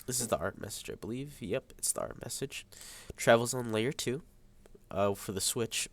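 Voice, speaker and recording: A young man with a stuffy nose, a high school student hesitantly explaining a networking lab over a screen recording. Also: normal speed, a little hiss or hum. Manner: hesitant, many ums and uhs. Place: at a computer, voice over screen capture.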